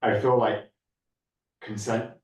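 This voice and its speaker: Low-voiced man discussing in a roomy space. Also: little pitch movement, takes it slowly, distant mic. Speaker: discussion group participant. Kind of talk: casual academic discussion. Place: indoor room at a group meeting. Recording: echoey room, off mic.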